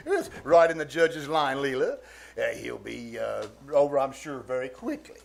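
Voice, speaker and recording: A man's voice: mid-range voice, lively intonation, measured pace, gravelly. An actor playing a pompous, jovial middle-aged bachelor uncle in 1940s-style radio comedy, chuckling and hemming as he goes. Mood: jovial, wheedling. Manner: blustering, chuckling, hemming and hawing. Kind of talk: 1940s radio comedy acting before a live audience. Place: small-town theater stage.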